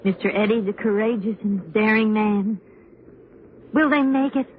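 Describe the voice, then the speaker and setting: A man acts an anxious, insistent pioneer with a high voice. The urgent delivery is in old radio-drama style. The sound is thin and phone-like, with faint noise. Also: moderate pace, close to an everyday voice. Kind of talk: 1940s-50s radio drama acting.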